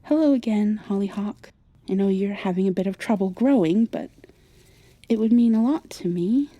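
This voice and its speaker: A woman in an ASMR roleplay playing a gentle, wry young witch who coaxes her plants in a soft-spoken way. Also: mid-range voice, moderate pace, no room sound, highs cut off, natural voice. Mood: wry, coaxing.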